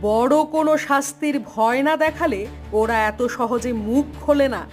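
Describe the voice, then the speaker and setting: Woman doing a scheming fairytale queen, high voice, haughty and bossy, faint music behind. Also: normal speed, darkish tone, natural voice. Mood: smug. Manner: haughty, commanding.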